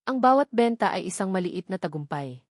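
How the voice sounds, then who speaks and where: A woman narrating a scripted love story in a measured, descriptive storytelling manner. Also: lowish voice, lively intonation, no echo, clean studio sound.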